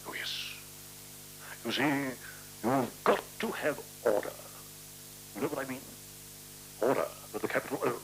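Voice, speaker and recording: A man's voice: medium pitch, unhurried, vocal fry, pauses often, a bit bright, faint music behind, poor audio. An actor playing a chatty, order-obsessed British man in a 1970s radio play, fussy and colloquial. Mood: fussy, emphatic. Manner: fussy, colloquial, hesitant asides. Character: chatty, order-obsessed, matey man organizing shared lodgings. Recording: hissy, humming 1970s radio recording.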